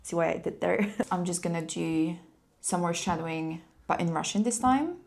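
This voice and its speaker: A young woman who is a native Polish speaker, chatting casually and quickly in a vlog, with frequent light laughs. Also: fairly low pitch, vocal fry, a little mellow. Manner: fast-talking, laughs often, upbeat. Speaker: language-learning vlogger.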